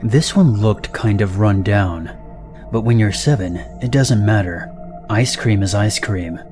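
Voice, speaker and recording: A man narrating horror stories in an even, measured voice. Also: fairly low pitch. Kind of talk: scripted narration of reader-submitted stories. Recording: narration over loud background music.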